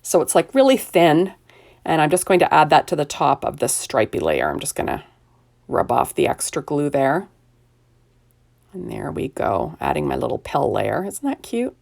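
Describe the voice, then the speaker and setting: An older woman with a rough, hoarse voice, chattily narrating a card-making tutorial step by step. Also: deep voice, huge pitch swings, moderate pace, darkish tone. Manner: chatty, self-deprecating, narrates each step with "there we go". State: hoarse, recovering from illness. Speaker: card-making video host. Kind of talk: casual crafting tutorial narration.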